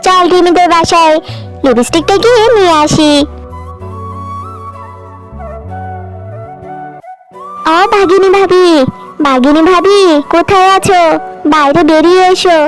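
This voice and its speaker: A woman's voice: very high voice, moderate pace. A woman voicing a poor but loving cartoon mother who scolds sharply. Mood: scolding. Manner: scolding, sharp-tongued, firm. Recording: faint background music.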